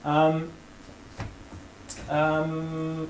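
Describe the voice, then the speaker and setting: Fairly high-voiced man teaching in an echoey room. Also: completely flat delivery, very slow, a little hiss or hum. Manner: casual, hedging, many fillers. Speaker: workshop instructor. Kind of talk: hands-on workshop tutorial.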